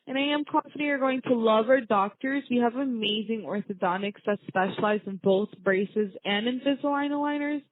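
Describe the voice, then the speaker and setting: Woman, receptionist, welcoming service tone, higher pitch, narrow dry telephone sound. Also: brisk, few pauses, no echo. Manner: welcoming, positive. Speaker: orthodontic office receptionist. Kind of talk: customer service phone call, semi-scripted. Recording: narrow, tinny phone line, dry.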